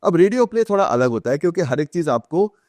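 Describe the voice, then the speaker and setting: Male interview guest, Indian accent, slightly high voice, dry close sound. Explains emphatically, with repeats and rhetorical questions. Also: big pitch swings, quick pace, a little mellow, no echo. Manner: mixes Hindi with English phrases. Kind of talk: explanatory conversation with anecdotes.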